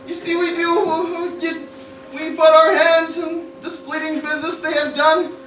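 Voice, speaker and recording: Woman acting anxious teenage cartoon boy, slow halting delivery, theatrical parody radio play, roomy distant recording. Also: very high voice, level tone, a bit bright. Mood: earnest, halting. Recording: echoey room, distant mic, faint noise.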